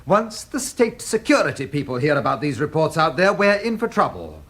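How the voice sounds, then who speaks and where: Stern British diplomat, animated delivery. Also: male voice, fairly high pitch, normal speed, heavily acted. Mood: reproving. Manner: admonishing, formal, clipped. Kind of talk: radio drama acting.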